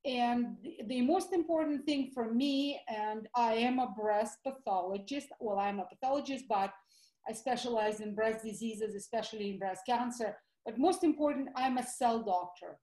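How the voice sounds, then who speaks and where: A woman's voice: a little high, normal speed, dark tone, roomy sound, rough recording. A middle-aged woman with a Russian accent, a guest doctor telling her story eagerly in a call-in interview. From former Soviet Union.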